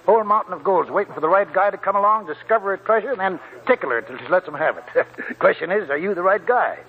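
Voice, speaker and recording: An actor playing a talkative, wry old gold prospector in a 1940s radio drama, raspy and folksy, rambling like a storyteller. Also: male voice, high voice, expressive, quick pace, heavily acted. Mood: sly, animated. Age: older. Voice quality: chuckling, raspy old man's voice. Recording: muffled 1940s radio broadcast with music.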